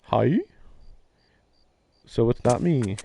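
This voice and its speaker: A man giving casual live-stream commentary on a game, thinking aloud in halting, musing fragments. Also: medium pitch, lively intonation, gravelly, mellow, a little hiss or hum. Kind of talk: casual live commentary on a visual novel playthrough. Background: music.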